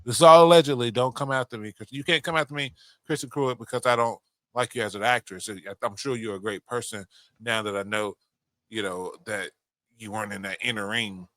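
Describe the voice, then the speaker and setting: A man's voice: on the low side, steady tone, a little fast, sharp tone, dry recording. A man co-hosting a podcast, chatting casually and bluntly, with opinions and plenty of swearing. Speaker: podcast co-host. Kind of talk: casual chat about movies and games.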